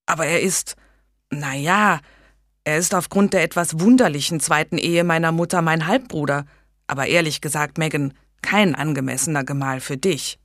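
A woman voicing a gentle, pious king who speaks calmly and deliberately, in a storybook reading. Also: low-pitched, no room sound, studio quality. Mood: measured. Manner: calm, measured.

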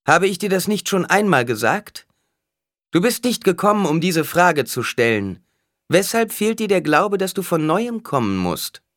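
Man voicing a stern high-pitched oracle priestess. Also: animated, a little slow, no room sound, clean studio sound. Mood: sharp, scornful. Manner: solemn intoning with a sharp edge. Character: stern, cryptic prophetess intoning oracles.

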